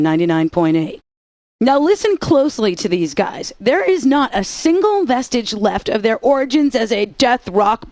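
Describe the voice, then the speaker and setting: A woman's voice: mid-range voice, a little mellow, no room sound. A woman radio DJ with sassy, playful patter between songs.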